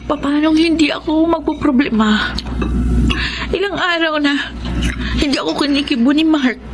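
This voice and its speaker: A woman's voice: sits high, moderate pace, noisy background, close to an everyday voice. An actress with a Filipino accent playing a troubled young woman torn in love in a radio drama, earnest and plaintive. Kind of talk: Tagalog radio drama acting.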